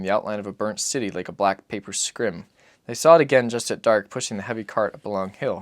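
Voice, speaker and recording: Low-voiced man narrating solemnly. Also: dry recording, clean studio sound. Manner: solemn, measured phrasing. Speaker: audiobook narrator. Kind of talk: dramatic literary narration.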